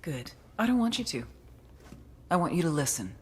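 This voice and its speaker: A woman acting a tough, foul-mouthed intelligence officer in a video game cutscene, with a deliberate, hard-edged, menacing delivery. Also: low-pitched, big pitch swings, a little mellow, slightly rough, exaggerated voice. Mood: cold, menacing.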